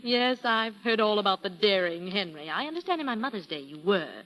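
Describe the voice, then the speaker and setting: A woman's voice: mid-range voice, lively intonation, a bit bright, lightly acted. Woman playing a coy, sly showgirl, lilting and reverberant. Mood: mocking, shrewd. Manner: coy, teasing, drawn-out phrases. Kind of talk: 1940s radio acting. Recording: reverberant 1940s radio broadcast.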